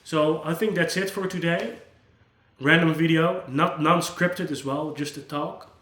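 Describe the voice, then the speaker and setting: A man whose first language is Dutch, a psychologist who hosts his own channel. He talks to camera without a script, earnest and gentle, and restarts his sentences often. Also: a little high, fairly even intonation, measured pace, reverberant. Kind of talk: unscripted talk to camera.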